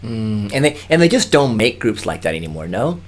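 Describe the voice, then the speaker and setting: A man's voice: lowish voice, big pitch swings, fairly quick. Playful, laughing male podcast host. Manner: playful, enthusiastic, laughs often. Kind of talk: casual interview.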